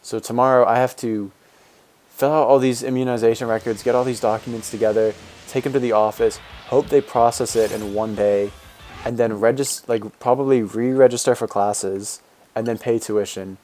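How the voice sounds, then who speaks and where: A man in his twenties with a deep voice, a game streamer talking casually with viewers while answering chat and rambling with fillers and restarts. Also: steady tone, moderate pace, darkish tone, soft background music. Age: 20s.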